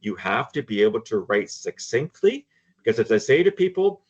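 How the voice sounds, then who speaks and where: A man's voice: medium pitch, big pitch swings, moderate pace, slightly rough, lo-fi sound. An older man, speaking frankly and emphatically as a guest in a casual panel discussion. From Canada. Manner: emphatic, frank, repeats words when starting. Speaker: guest, former intelligence analyst.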